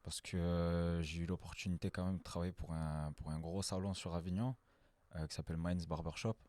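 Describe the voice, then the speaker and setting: A man in his twenties from France, a hairdresser answering interview questions in a relaxed, simple way. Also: low-pitched, fairly quick, no room sound. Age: 20s. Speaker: guest, hairdresser.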